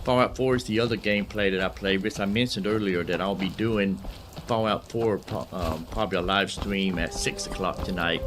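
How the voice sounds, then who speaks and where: A man's voice: deep voice, moderate pace, noisy background. A man giving casual, rambling livestream commentary on a game, speaking bluntly and talking back to the characters. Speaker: video game streamer, host.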